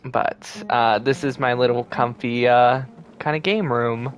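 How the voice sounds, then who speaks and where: A man livestreaming a game, chatting casually with viewers and thinking aloud in a relaxed way. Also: mid-range voice, a little slow. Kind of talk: casual live gameplay chat with viewers. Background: video game music.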